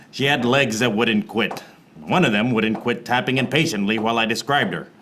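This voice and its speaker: An actor playing a wisecracking, world-weary private detective in a film noir radio play parody, with a deadpan delivery. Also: male voice, mid-range voice, a little hiss or hum, close to an everyday voice. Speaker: actor in lead role and narrator. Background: music behind the voice.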